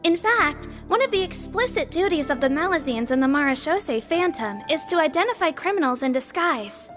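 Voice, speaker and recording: A woman's voice: high-pitched, expressive, a little fast, over music. A woman voicing a matter-of-fact officer in a video game, speaking informatively.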